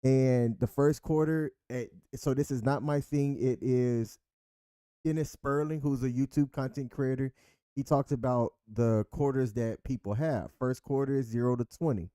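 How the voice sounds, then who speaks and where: A man in his thirties co-hosting a relaxed couple's chat show, easygoing and quick to laugh at himself. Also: mid-range voice, clean tone, no room sound, studio quality. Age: 30s. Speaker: co-host.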